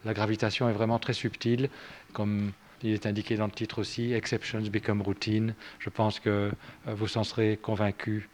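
A man's voice: on the low side, flat delivery, fairly quick, darkish tone, a little hiss or hum. A man introducing a seminar speaker in a formal, hesitant way, with frequent fillers. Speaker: course lecturer introducing a seminar.

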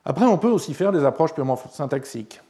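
Slightly hoarse lecturer, hesitant. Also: male voice, a little high, lively intonation, fast talker, warm tone. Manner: hesitant, many fillers and restarts, checks understanding. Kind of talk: university lecture.

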